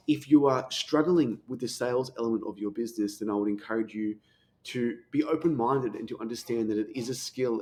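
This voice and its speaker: Man coaching, quick and emphatic. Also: medium pitch, darkish tone. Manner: talks fast with occasional stutters. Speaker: sales coach and host. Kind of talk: coaching commentary on a played sales call.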